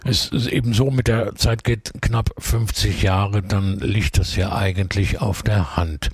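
A man's voice: on the low side, fairly even intonation, a little slow, breathy edge, dry recording. A man hosting a radio interview, asking leading questions with enthusiasm about the music. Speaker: radio host.

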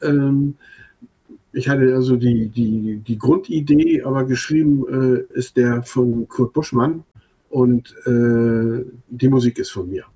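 An older man whose first language is Low German answers interview questions about his band. His voice is husky and warm, and he speaks haltingly, restarting phrases and filling pauses. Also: fairly even intonation, poor audio. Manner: hesitant, many fillers and restarts. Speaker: band leader and songwriter.

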